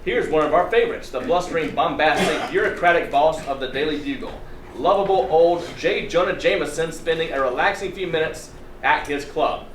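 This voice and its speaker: A man's voice: on the high side, a little fast, noisy background. A man narrating and riffing as a comedy performer at a live comics reading. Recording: echoey distant live room with crowd.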